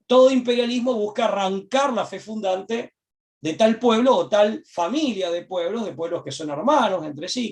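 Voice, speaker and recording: A man with an Argentine accent, a guest in an interview, speaking at length in a discursive, reflective way, repeating his key phrases and pausing to find words. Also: sits high, far from the mic. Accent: Argentine Spanish. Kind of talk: reflective political commentary.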